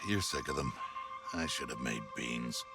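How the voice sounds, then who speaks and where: An actor voicing a gentle, proud, fatherly mentor in a comedic animated game, earnest and a little hesitant. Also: extremely low pitch, slow, over music, full character voice. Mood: doting, sheepish. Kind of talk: animated video game cutscene acting.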